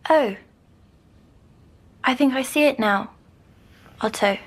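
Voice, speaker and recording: A troubled little girl in an audio drama, with a fairly high voice. She is timid and childlike, speaking very slowly and hesitantly, as someone plagued by nightmares would. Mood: tentative, wondering.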